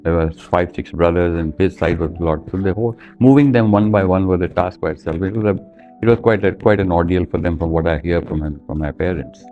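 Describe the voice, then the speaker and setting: A man's voice: low voice, a little fast, mellow, highs cut off, faint music behind. A man in his seventies from India with an Indian accent, telling his life story conversationally as an interview guest, in a plain-spoken, anecdotal way. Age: 70s.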